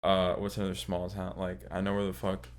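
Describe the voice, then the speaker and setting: A young man with a very deep, low voice talks as a podcast guest. His delivery is loose and easygoing, peppered with slang and casual swearing, as he shoots the breeze with friends. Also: monotone, a little fast, a little hiss or hum. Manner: laid-back, swears often, lots of "like" and "bro". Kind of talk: casual chat.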